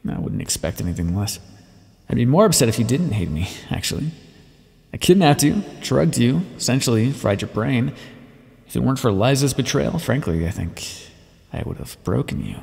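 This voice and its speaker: Male actor as weary, brusque scientist captor for audio roleplay; gravelly, dry delivery with a swinging pitch. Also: big pitch swings, normal speed, a little mellow, exaggerated voice. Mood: wry, bitter. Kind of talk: ASMR roleplay monologue, intimate acting. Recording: long reverberant echo.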